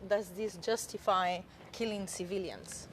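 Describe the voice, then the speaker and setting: Mid-pitched woman interviewing on location. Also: a little slow, noisy background. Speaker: reporter asking questions.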